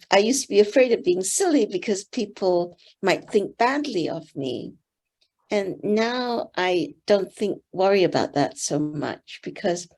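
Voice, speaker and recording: Slow, gentle older woman. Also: on the high side. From Singapore. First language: Teochew. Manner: gentle, thoughtful, pauses with soft fillers. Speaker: interview guest, board member. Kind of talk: reflective interview answers.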